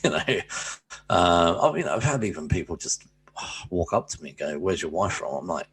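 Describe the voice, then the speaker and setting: Deep-voiced, rambling Englishman. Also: animated, moderate pace, a little hiss or hum. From England. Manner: rambling, hesitant, many fillers. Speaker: podcast co-host. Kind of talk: casual chat.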